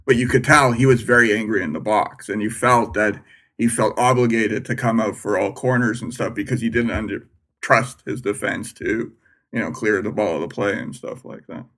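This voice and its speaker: A man's voice: fairly low pitch, normal speed, a bit bright. A man on a soccer panel talking conversationally, often tagging points with a question. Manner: conversational, tags with "right?". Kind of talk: casual chat.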